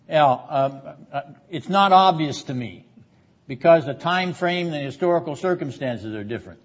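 A man lecturing on the Bible in a classroom, explaining didactically with rhetorical questions and frequent throat clearing. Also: on the high side. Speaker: seminary lecturer. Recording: slightly dull classroom recording.

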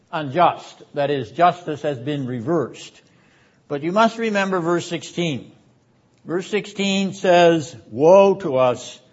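A man lecturing on the Bible in a classroom, explaining didactically with rhetorical questions and frequent throat clearing. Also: on the high side. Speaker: seminary lecturer. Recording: slightly dull classroom recording.

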